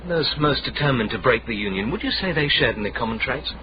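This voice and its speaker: An actor playing a smooth, probing gentleman with scholarly pretensions in a radio drama. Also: mid-range voice, lively intonation, fast, lightly acted. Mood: suave, probing. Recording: muffled radio drama, clear noise.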